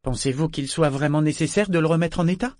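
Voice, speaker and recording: Man voicing an eager, anxious young girl, high-pitched. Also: fast talker, a little mellow, no echo, lightly acted. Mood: earnest, reasoning. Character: anxious, eager adolescent girl, polite but insistent. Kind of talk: audiobook character dialogue.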